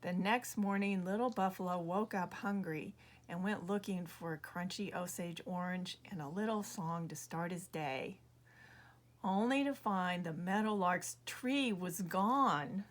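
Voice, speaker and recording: A woman reading her own children's book aloud as a measured, expressive storyteller. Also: lowish voice. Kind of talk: storybook narration read aloud.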